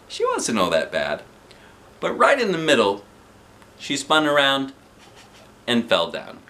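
Dad in his 30s reading a kids book on the sofa, bouncy pitch, bright voice, bit of room noise. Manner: animated, playful character voices. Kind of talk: expressive read-aloud with asides. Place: living room, seated on sofa.

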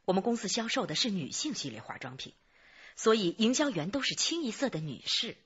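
Husky, forceful woman company manager. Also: mid-range voice, sing-song, exaggerated voice. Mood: businesslike. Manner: brisk, official, cooperative. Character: businesslike female general manager of cosmetics company. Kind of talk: Chinese radio drama acting. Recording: muffled band-limited radio drama audio.

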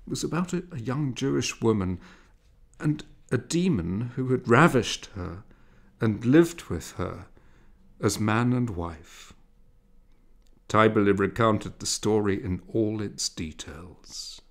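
A man reading a literary story aloud as a narrator, in a measured, expressive way with dramatic emphasis. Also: lowish voice. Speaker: audiobook narrator.

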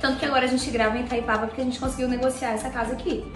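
Brief: young woman guest, gaúcho Brazilian accent, relaxed and chatty, voice a bit high, echoey room. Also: very fast, recorded from a distance, faint music behind. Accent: southern Brazilian, gaúcho. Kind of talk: casual interview chat. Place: indoor living room on a sofa. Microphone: handheld mic from a few feet.

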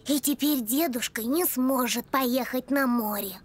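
A woman's voice: high voice, a little slow, breathy edge. A woman voicing a sweet, eager little puppy child in a cartoon, with music underneath. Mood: earnest. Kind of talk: animated cartoon acting.

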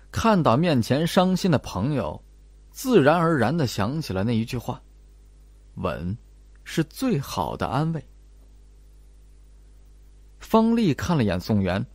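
A male audiobook narrator tells a suspense story. His intonation moves in a lively way, and the recording is dry and close. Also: mid-range voice, no room sound. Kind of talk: scripted suspense narration with acted dialogue.

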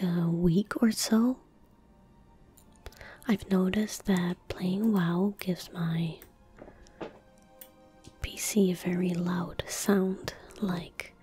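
A young woman gives casual ASMR commentary while gaming, very close to the mic. Her voice is breathy and whispered, with a dark, relaxed tone. She speaks gently and slowly, with little rise or fall in pitch. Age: young adult. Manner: calm, gentle, unhurried. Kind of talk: soft-spoken ASMR, casual gameplay commentary. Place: small indoor room at a desk. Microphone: close desk microphone.

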